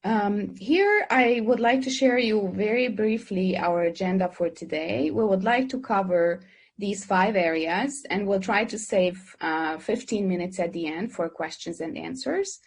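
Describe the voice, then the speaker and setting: A woman's voice: medium pitch, expressive, measured pace, husky. A woman moderating an online webinar, who introduces speakers and asks questions hesitantly, with many fillers and restarts, over a video call. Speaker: moderator, dean.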